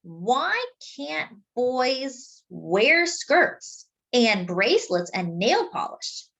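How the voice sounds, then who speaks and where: Woman as a high, emphatic bossy big sister. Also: sing-song, very slow, creaky, a bit bright, heavily acted, rough recording. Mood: protective, firm. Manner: emphatic, indignant. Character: bossy, protective older sister, young girl.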